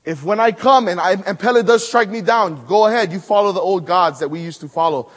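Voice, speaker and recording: A man from Hawaii preaching at a conference, building to loud emphatic peaks and dropping to hushed asides. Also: a little fast, big-room sound. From Hawaii (Maui). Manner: emphatic, swings between loud rising build-ups and hushed low asides. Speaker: guest preacher. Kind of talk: conference sermon with storytelling.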